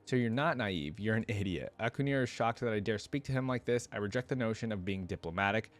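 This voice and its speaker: Low-voiced man reading and reacting casually. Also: lively intonation, quick pace, soft background music. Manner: casual, informal asides between read passages. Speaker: reaction video creator. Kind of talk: reading a fantasy story aloud with casual commentary.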